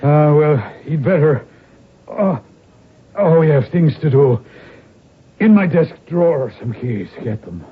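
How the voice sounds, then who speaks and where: An actor playing a wounded, middle-aged Irish rebel lord on his deathbed in a radio drama, weary and halting, with an Irish accent. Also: fairly high pitch, animated, slight background noise. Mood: weary, commanding. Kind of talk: old-time radio drama acting. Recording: muffled, narrow-band vintage radio recording.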